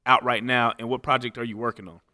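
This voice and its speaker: A man's voice: mid-range voice, level tone, fast talker, pushed and bright, no echo, studio quality. An American man chatting as an interviewer, conversational and often checking in with his guest. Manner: conversational, checks in with "you know". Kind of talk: casual interview chat.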